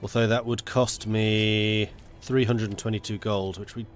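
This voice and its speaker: A lower-voiced man streams a strategy game, his voice stuffy and congested from a cold. He chats with viewers and mutters his plans half to himself. Soft music plays faintly behind him. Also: little pitch movement, measured pace. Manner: chatty, muttering to himself while planning. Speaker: gaming streamer. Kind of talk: casual live gameplay commentary.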